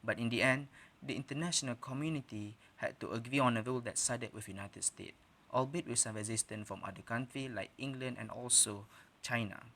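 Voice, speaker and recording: A young adult man gives a prepared student debate speech. His voice sits at a medium pitch, and his delivery is formal and sounds recited, laying out points in order. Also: fairly quick, bright, forceful tone.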